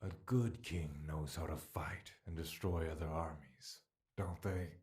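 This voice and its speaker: Audio drama role: an age-old malevolent spirit, cavernously deep and unhurried, taunting and tempting with theatrical menace. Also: male voice, steady tone, darkish tone. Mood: seductive, goading. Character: ancient male spirit trapped within a mortal, scornful, bloodthirsty, tempting.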